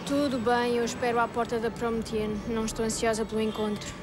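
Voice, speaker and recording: Lady acting curt sore ex-girlfriend, highish flat voice, european portuguese, loud music behind her. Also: quick pace, close to an everyday voice. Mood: curt, hurt. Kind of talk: dramatic comic dub.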